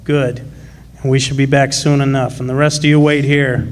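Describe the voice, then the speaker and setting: A man's voice: medium pitch, flat delivery, normal speed, close to an everyday voice. A man acting a fair-minded, plain-spoken father, a miner, in a radio drama, speaking patiently and reassuringly. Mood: calm, polite.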